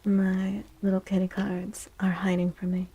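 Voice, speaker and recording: A woman reading tarot as a livestream host in a soft-spoken, near-whispered ASMR style, gentle and reassuring, close to the microphone. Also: medium pitch, monotone, a little slow, slightly rough, muffled recording.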